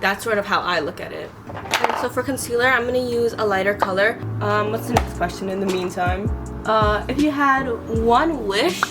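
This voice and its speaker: A woman's voice: medium pitch, moderate pace. A young woman vlogging while she does her makeup, rambling with lots of "like" and "um", recovering from being sick. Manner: rambling, many fillers like "like" and "um". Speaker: beauty vlogger. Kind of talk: casual chat while doing makeup. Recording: clear background music.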